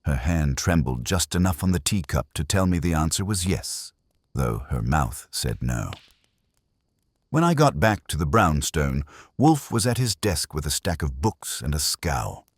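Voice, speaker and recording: A man narrates a first-person detective story in a wry, hardboiled way. His voice is very deep and varied in pitch, and the recording is close and dry. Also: very animated, measured pace, slightly rough, no echo.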